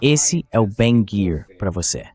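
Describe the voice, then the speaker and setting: Man, low voice, Brazilian accent, AI voice-over, conversational news discussion. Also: sing-song, takes it slowly, a little mellow. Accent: Brazilian Portuguese.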